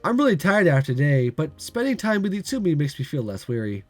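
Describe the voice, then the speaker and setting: A man's voice: a little high, sing-song, brisk, dry recording, faint music behind. A man reading a tired young guy. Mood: weary. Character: tired, kind young male café helper. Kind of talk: reading a visual novel aloud.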